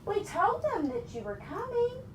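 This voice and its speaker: A woman's voice: extremely high pitch, wildly swooping pitch, a little slow, pitched above the performer's own voice, heavily acted. A woman reading a storybook, voicing an affectionate but firm husband in a coaxing, emphatic way. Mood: reassuring. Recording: roomy, distant mic with background noise.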